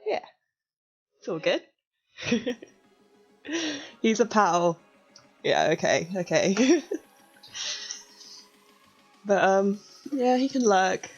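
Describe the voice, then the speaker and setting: A young woman in her twenties, slightly croaky, chatting casually and giggling as she walks viewers through a hacking exercise on a live stream. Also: medium pitch, animated, drawn-out words, long pauses, highs cut off. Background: faint music.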